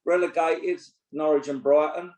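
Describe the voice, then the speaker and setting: A man's voice: on the high side, steady tone, normal speed, a little mellow. Blunt, opinionated man in his sixties. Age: 60s. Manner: blunt, opinionated, swears freely. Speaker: podcast co-host and pundit. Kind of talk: casual chat. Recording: roomy echo.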